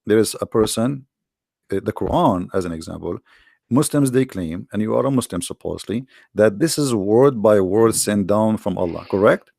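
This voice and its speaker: Gravelly, mocking male debater. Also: fairly low pitch, expressive, darkish tone, no echo, clean studio sound. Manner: mocking, sarcastic, rapid-fire questions. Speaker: debate host. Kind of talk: live online debate.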